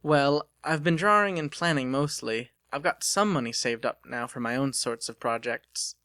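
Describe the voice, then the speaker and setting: A man's voice: mid-range voice, normal speed, bright, forceful tone, no room sound, studio quality. A man voicing an earnest, plain-spoken young mechanic and smitten suitor in an audiobook. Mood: eager, hopeful. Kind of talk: dramatic audiobook character reading.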